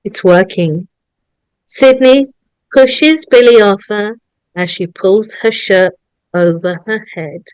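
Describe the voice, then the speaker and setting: Woman, narrator, screenplay stage directions, table read, dry sound, medium pitch. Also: slow, darkish tone, smooth, no room sound, poor audio.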